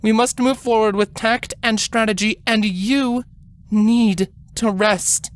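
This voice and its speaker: A woman's voice: on the high side, fairly even intonation, slow pace, pitched above the performer's own voice. A woman voicing a stern, regal elder alicorn queen, grim and commanding, in a dramatic fantasy story reading. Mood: firm, cautioning. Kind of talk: dramatic fan fiction reading.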